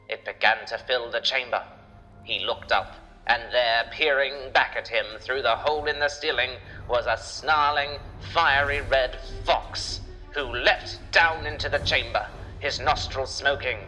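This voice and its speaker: Male narrator, medium pitch, dramatic children's storytelling, prominent music underneath. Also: unhurried, pushed and bright, rough recording.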